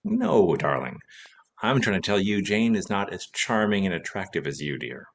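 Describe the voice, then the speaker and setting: A man's voice: sits low, big pitch swings, normal speed, vocal fry, slight background noise, full character voice. A man playing a wry, teasing husband and witty sleuth in an old-time radio mystery, with a dry, playful manner. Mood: teasing, affectionate.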